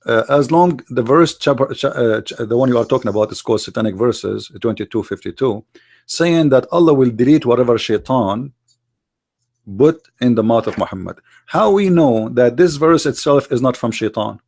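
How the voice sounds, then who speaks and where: A man's voice: mid-range voice, lively intonation, normal speed, creaky, a little mellow. A man whose first language is Arabic, a podcast guest arguing points at length in non-native English with many fillers. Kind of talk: podcast interview.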